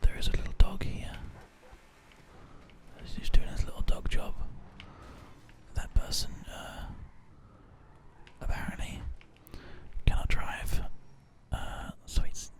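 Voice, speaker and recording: A man softly whispering rambling ASMR commentary over a video game, in a breathy, gentle voice. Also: takes it slowly, pauses often. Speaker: gaming ASMR host.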